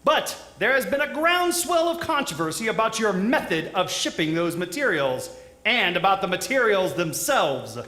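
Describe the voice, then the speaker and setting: Man acting a high, bright talk show host. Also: big pitch swings, normal speed, heavily acted. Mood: skeptical. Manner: polished, pointed, announcer-like. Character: slick, probing male broadcast talk show host. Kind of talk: live radio theatre acting. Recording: echoey live stage recording.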